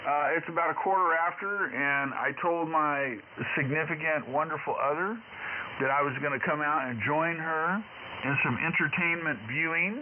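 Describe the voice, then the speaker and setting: A middle-aged man chatting casually as controller of an amateur radio net, with a folksy, playful manner, heard over radio. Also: a little high. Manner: folksy, playful handoffs with mock-dramatic nicknames. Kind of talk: casual ham radio chat. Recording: narrow, noisy shortwave radio transmission.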